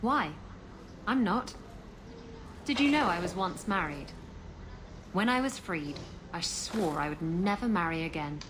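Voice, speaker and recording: A woman's voice: fairly low pitch, animated, a little slow, pauses often, background noise. A woman playing a worldly, guarded adventuress in a video game, dry, wry and flippant. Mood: dismissive.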